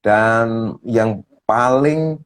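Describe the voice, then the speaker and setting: A man speaking as a coach, explaining ideas step by step in a slow, thoughtful way with many fillers. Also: mid-range voice, clean tone. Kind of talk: explaining ideas in a talk show.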